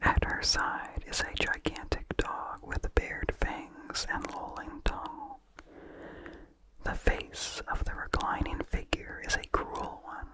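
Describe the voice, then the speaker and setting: A narrator reading a vintage horror story aloud in a soft, measured ASMR whisper. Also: poor audio.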